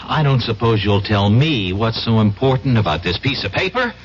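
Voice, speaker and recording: A man acts a wary, defiant newspaperman held captive in a seventies radio thriller. His low voice is animated. The old recording is dull and slightly hissy. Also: normal speed, natural voice. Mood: wary, impatient. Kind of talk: 1970s radio drama acting.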